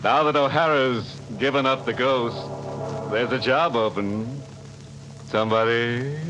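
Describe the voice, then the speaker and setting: A man's voice: medium pitch, lightly acted, low-quality recording. A man playing a wry horror-show host who delivers a closing moral with dry gallows humor. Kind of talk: 1940s radio acting.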